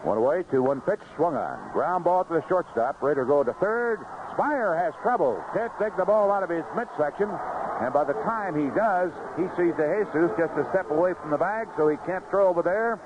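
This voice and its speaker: Animated baseball radio announcer, man, noisy vintage broadcast. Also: on the high side, normal speed, dull audio. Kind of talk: live play-by-play sports commentary. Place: outdoor ballpark broadcast booth.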